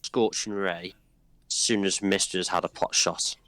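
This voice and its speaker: A man's voice: low-pitched, steady tone, normal speed, a bit bright, dry recording. A man playing a tabletop game, talking casually through what he wants to do. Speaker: player.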